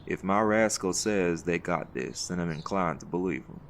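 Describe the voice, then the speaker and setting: A man playing a seasoned, folksy leader of a hunt in an audio roleplay, gravelly and reassuring, with a fond teasing streak. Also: sits low, moderate pace, slight background noise. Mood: warm, reflective.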